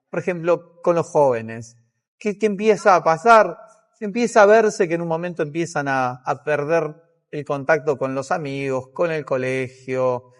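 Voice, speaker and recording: A man with an Argentine accent, a psychiatrist giving explanatory interview answers in a measured way, thinking aloud as he goes. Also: on the high side.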